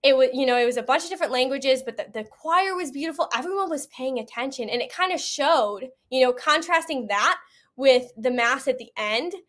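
A woman's voice: sits high, expressive, a little fast, a bit bright. A woman giving a personal account as an interview guest, earnest, conversational and emphatic. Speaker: podcast guest, event attendee.

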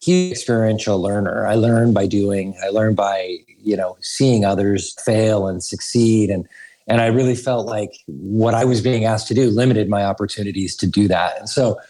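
Earnest man with a low, mellow voice. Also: moderate pace, low-quality recording. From Idaho. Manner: earnest, thoughtful, with frequent restarts. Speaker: school research director, guest. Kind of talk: podcast interview.

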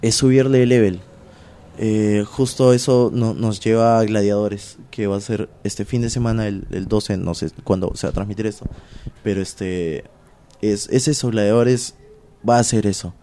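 A man's voice: lowish voice, steady tone, moderate pace, warm tone, a little hiss or hum. A Peruvian man, a pro wrestler being interviewed, talking casually and animatedly with lots of slang and fillers. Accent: Peruvian Spanish. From Peru.

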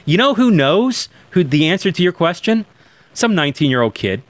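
A man's voice: high-pitched, sing-song, moderate pace, no room sound. A man in his forties, talking in an emphatic, preacherly way and turning to address listeners directly in a podcast conversation. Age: 40s. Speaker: church planting guest.

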